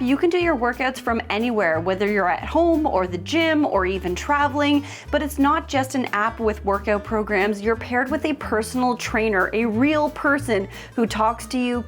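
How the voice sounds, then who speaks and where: Chatty, upbeat woman vlogger over music. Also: a little high. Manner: upbeat, expressive, casual. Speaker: host of a DIY home makeover vlog. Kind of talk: chatty vlog narration.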